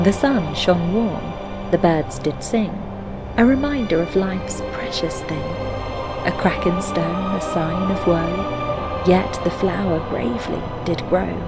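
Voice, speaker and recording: A reflective female narrator recites melancholy fantasy poetry for a game. Her voice sits a little low, and she takes each line very slowly and solemnly, with a lyrical, measured cadence. Music plays clearly behind her.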